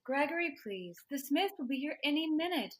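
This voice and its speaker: Woman as a high-voiced scolding sitcom housewife. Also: lively intonation, a little fast. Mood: impatient. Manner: nagging, dismissive. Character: scolding, long-suffering 1940s sitcom housewife. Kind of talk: 1940s radio sitcom parody acting.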